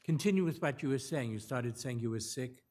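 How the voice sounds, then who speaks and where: A male presiding judge in a courtroom, briskly directing counsel to continue and finish. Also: medium pitch, lively intonation, a little mellow. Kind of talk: running oral argument.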